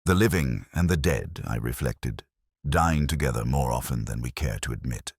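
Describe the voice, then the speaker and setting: A man playing a brooding, world-weary older police inspector, narrating a detective drama in a measured, formal, wry manner. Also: extremely low pitch, lively intonation, slightly rough, dry recording, clean studio sound, exaggerated voice. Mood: melancholy, reflective. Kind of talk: scripted first-person literary narration.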